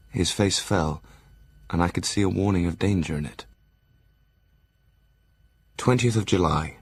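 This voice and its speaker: A man playing a brooding Victorian asylum doctor, narrating his journal in a measured, formal way for an audio drama. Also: sits low, slightly rough. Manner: measured, formal, literary delivery.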